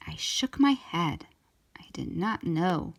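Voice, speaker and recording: Animated woman reading a children's story, slower pace. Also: fairly low pitch, vocal fry. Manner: expressive, drawn-out emphasis for young listeners. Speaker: storytime host reading a children's book aloud.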